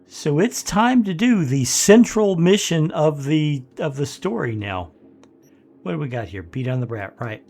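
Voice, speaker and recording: Older man, congested rough voice, fairly high pitch, casual rambling game commentary, home office. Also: animated, a little mellow. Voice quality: rambling, halting with many fillers. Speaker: let's play host.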